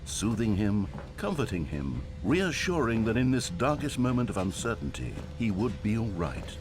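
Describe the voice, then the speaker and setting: An actor playing a wry, theatrical storybook narrator in a video game. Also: male voice, on the low side, big pitch swings, moderate pace, over music. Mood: wry, dramatic. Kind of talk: scripted narration.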